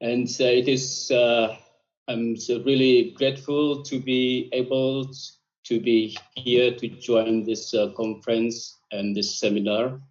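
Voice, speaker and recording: A man's voice: medium pitch, flat delivery, takes it slowly. A man from Indonesia asking a question on a video call, polite and hesitant with many fillers. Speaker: audience member asking a question. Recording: muffled video call audio.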